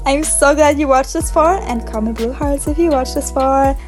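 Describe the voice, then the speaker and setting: A woman's voice: high voice, normal speed, soft background music. A young woman with a German accent, chatting casually in a bubbly, rambling voice-over about her drawings. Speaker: art vlogger.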